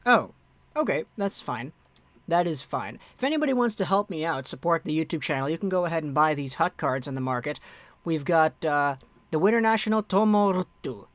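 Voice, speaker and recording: A man's voice: on the high side, lively intonation, fairly quick, a bit bright, dry recording. A young man hosting a casual hockey live stream, chatting and answering viewer messages as they come in. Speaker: hockey livestream host.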